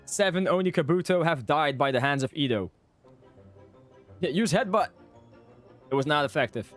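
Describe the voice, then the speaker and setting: Slightly high-pitched man streaming a game. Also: expressive, moderate pace, faint music behind. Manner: joking, repeats phrases. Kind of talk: casual live gameplay commentary.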